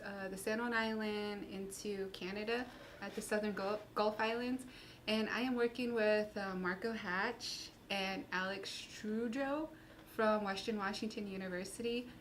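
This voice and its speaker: A woman from Utah, a student research intern, speaking in an interview in a measured, earnest way with some pauses. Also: mid-range voice, a little hiss or hum. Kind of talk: interview about her research.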